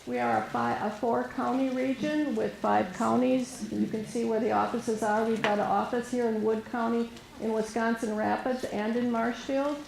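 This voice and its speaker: A soft-spoken woman with a gravelly, mellow voice presents to a room as a resource specialist. She talks hesitantly, full of fillers and restarts, and the room microphone catches a roomy echo. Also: on the high side, fairly even intonation, normal speed, few pauses, a little hiss or hum. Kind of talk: informal presentation to an audience. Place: meeting room with an audience. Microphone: room microphone recorded for camera.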